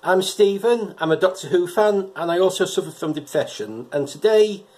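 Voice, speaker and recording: A man's voice: sits high, animated, a little mellow. Chatty middle-aged man with a head cold. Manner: chatty, halting with repeated words. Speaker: vlogger reviewing episodes. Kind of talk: casual personal vlog talk. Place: living room indoors, and a car park outdoors at night.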